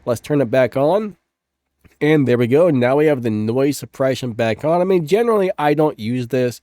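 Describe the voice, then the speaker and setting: Chatty man with a dark, stuffy voice. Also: medium pitch, animated, normal speed, no room sound, studio quality. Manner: upbeat, chatty, says "ba-bam". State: stuffed-up sinuses. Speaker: tech tutorial host. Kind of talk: casual screen-recorded tutorial. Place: home room with a fan running.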